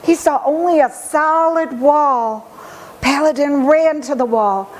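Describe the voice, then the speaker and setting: Slow, high-voiced woman storyteller. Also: a little hiss or hum, rough recording. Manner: dramatic, deliberate phrasing. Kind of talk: expressive children's story reading.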